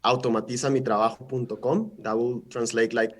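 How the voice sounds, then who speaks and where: A man's voice: mid-range voice, steady tone. Friendly man presenting. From Mexico. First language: Spanish. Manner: friendly, halting, often repeats words. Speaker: guest presenter. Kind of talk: casual live presentation. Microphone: video call.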